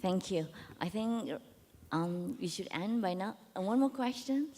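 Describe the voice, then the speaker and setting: A woman with a medium-pitched voice moderates informally, speaking in brief phrases. Her voice carries the long echo of a large hall. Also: lively intonation, unhurried, mellow. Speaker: moderator of the question session. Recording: echoey hall, long reverb.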